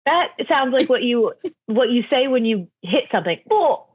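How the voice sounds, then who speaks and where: A woman with a Delaware County, Pennsylvania accent teases playfully as a podcast co-host. Her voice is a bit high and lively in pitch, with a slightly husky edge. The recording sounds somewhat dull. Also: moderate pace, no room sound. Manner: teasing, playful. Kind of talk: casual chat.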